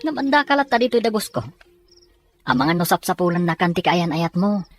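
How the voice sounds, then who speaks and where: A man playing a blunt, scolding young buddy in an audio drama, reproachful and questioning, with a slightly rough voice. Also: high-pitched, very animated, brisk, fairly bright tone, faint music behind. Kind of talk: Ilocano audio drama acting.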